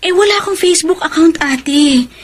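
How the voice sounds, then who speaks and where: A woman playing an anxious, gentle wife and mother who misses her husband, in a Filipino radio drama. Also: very high voice, normal speed. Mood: hesitant. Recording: music bed behind dialogue.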